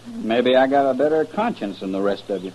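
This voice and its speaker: Man playing a surly western drifter, swinging pitch. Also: lively intonation, brisk, darkish tone, lightly acted. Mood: defiant, needled. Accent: American West. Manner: evasive, defiant. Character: shifty, surly male drifter posing as cowboy. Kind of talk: old-time radio western acting. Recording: muffled, noisy old radio recording.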